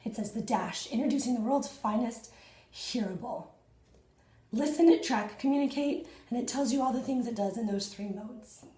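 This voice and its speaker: A woman in her thirties, hoarse and husky after losing her voice, chatting excitedly to viewers in a casual unboxing vlog at home. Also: a little high, moderate pace, echoey room, low-quality recording. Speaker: fitness vlogger. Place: small indoor room at home.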